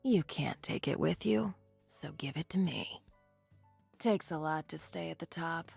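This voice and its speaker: A woman voicing a cocky, greedy mercenary desert warrior in a video game, husky and gravelly, with dry, sardonic delivery. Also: low voice, unhurried, darkish tone, dry recording, soft background music, exaggerated voice. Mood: taunting. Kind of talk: video game character voice lines.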